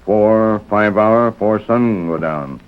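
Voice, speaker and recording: An actor playing a stoic, loyal scout in an old-time radio western, speaking solemnly in terse pidgin phrases. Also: male voice, on the low side, slow, clear, resonant tone. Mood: stoic, grave. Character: stoic, loyal frontier scout companion, adult man. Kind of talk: 1940s radio western acting. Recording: muffled old radio recording.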